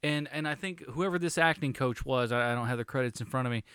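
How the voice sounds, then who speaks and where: Casting: man, mid-pitched, jokey podcast co-host, dry close sound. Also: fast, a bit bright, clear, resonant tone, no room sound. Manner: jokey, throws in silly quips. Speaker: podcast co-host, film reviewer. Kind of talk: casual chat.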